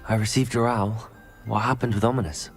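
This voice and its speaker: An actor playing a composed young wizard student who speaks in a polite, measured and dry way. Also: male voice, lowish voice, fairly even intonation, faint music behind, close to an everyday voice. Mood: calm. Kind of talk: video game voice acting.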